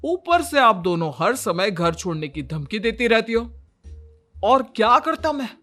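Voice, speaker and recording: A man's voice: high-pitched, big pitch swings, unhurried, bright, forceful tone, faint music behind, exaggerated voice. A man playing an exasperated, put-upon young Indian husband and son in a cartoon story, with a weary, complaining manner.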